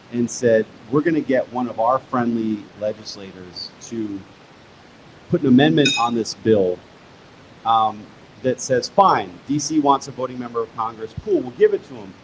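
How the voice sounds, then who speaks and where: A man's voice: mid-range voice, slight background noise, rough recording. A man who is the guest author at a live stage conversation. He explains history patiently and step by step, his mid-range voice building emphasis as he makes each point.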